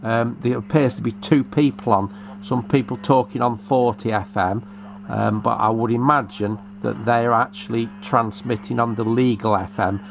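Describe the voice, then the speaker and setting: A middle-aged British man with a gravelly, creaky voice talks through his hobby gear. He is matter-of-fact and a little hesitant, explaining things off the cuff at an ordinary, slightly low-key register. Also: fairly even intonation, moderate pace, a little hiss or hum. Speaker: radio repairer presenting a hobby video. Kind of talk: unscripted show-and-tell narration.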